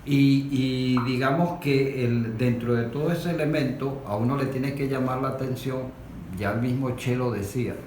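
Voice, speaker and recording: A man's voice: medium pitch, normal speed, darkish tone, a little hiss or hum. A man with a Venezuelan accent speaking as a discussion guest, setting out his points in a didactic way. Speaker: interview guest, industrial worker. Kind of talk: spontaneous political discussion. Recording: echoey room with music behind.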